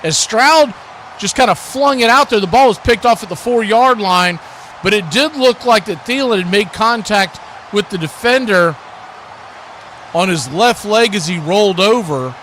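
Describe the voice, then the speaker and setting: A man's voice: high-pitched, expressive, moderate pace, a bit bright. A man doing live radio play-by-play commentary of a football game as the announcer. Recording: clearly noisy live broadcast.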